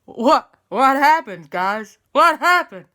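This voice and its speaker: A woman voicing a silly, dazed male ghost skeleton toy in a children's story, whiny and repetitive, high and loud. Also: expressive, takes it slowly, heavily acted.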